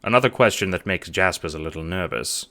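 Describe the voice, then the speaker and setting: A man's voice: low voice, a little fast, bright, forceful tone. A man narrating an audio drama as scripted second-person storytelling.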